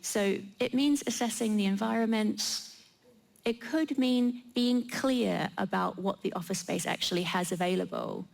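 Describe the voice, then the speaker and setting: Creaky-voiced woman explaining methodically. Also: medium pitch, measured pace, rough recording. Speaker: panellist. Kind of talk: explanatory presentation. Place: live event in a theatre.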